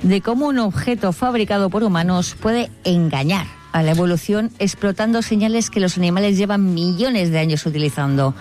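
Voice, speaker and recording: Animated woman telling a funny story. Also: lowish voice, a little fast, hardly any pauses, soft background music. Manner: animated, acts out quotes in funny voices. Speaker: podcast host. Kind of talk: comic storytelling chat.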